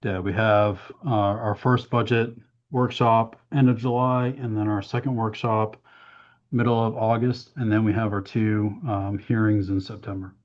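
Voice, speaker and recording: A man presenting a town budget at a council workshop, hoarse from losing his voice, with a hesitant manner full of "um"s. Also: on the low side, flat delivery, moderate pace. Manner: hesitant, many "um"s, explains plainly. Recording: dull, muffled meeting audio.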